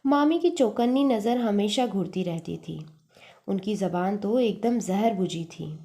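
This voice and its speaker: A woman storyteller with a mellow, relaxed voice of medium pitch. She reads literary fiction expressively, keeping an earnest and measured delivery.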